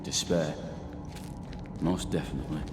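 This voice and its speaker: An actor playing a somber man recounting a doomed mining settlement's past in a video game, gravely and wryly. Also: a bass voice, fairly even intonation, a little slow. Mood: dry, bleak. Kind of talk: video game dramatic dialogue. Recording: echoey cavernous reverb, clear background noise.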